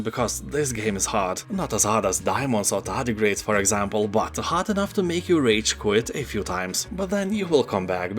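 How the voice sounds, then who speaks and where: A man narrating a scripted game roundup as host, dropping wry joking asides. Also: mid-range voice.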